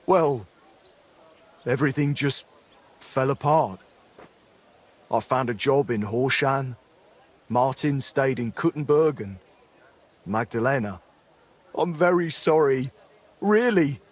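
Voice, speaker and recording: An actor playing a remorseful middle-aged former blacksmith in a dramatic game, speaking in an earthy, apologetic way. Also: male voice, medium pitch, lively intonation, slow pace, long pauses. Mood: apologetic, emotional.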